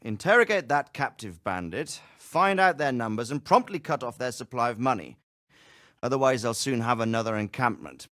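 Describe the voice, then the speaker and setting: An actor playing a commanding lord in a video game cutscene, giving orders in a measured, authoritative way. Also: male voice, medium pitch, expressive, a bit bright, no room sound, studio quality, close to an everyday voice. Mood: firm. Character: commanding steady male lord.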